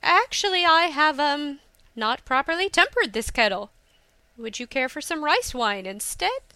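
Woman narrator as young tea-house woman, high-pitched, crystal clear, scolding, indignant, emphatic, storybook. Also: big pitch swings, normal speed, no echo, full character voice. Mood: flustered. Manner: indignant, emphatic, sharp-tongued.

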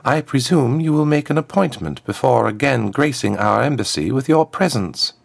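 A man's voice: medium pitch, lively intonation, normal speed, pitched above the performer's own voice. A man voicing a smug, formal woman ambassador in a story, with silky menace in a lifted voice. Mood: icily courteous. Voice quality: smooth, lifted contralto. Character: smug, haughty, athletic woman ambassador and villain.

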